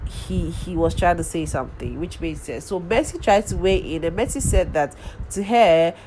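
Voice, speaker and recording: A woman's voice: on the low side, lively intonation, moderate pace, warm tone, slight background noise, poor audio. A woman with a cold, sounding nasal, chatting casually through a rambling recap full of ums and restarts. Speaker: vlogger recapping a reality show.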